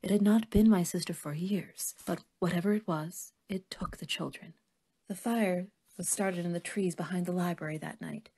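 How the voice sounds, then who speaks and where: A woman playing a kindly, worried older woman who believes in fairies, gentle and motherly in an audio drama. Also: on the low side, moderate pace, breathy edge. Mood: grave, haunted.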